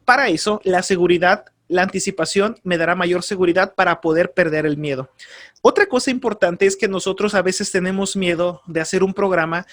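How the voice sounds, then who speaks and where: A man teaching a lesson on radio announcing, speaking earnestly and calmly, giving advice straight to his listeners and repeating key terms. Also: high voice, brisk. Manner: earnest, advisory, addresses listeners directly. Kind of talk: spoken course lesson.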